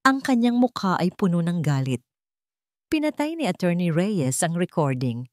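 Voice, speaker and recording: A woman reads a dramatic audio story with measured, suspenseful phrasing. Her voice sits a little low and moves widely in pitch. The recording is dry and close. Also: big pitch swings, no echo. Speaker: story narrator. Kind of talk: dramatic scripted narration of a Tagalog audio drama.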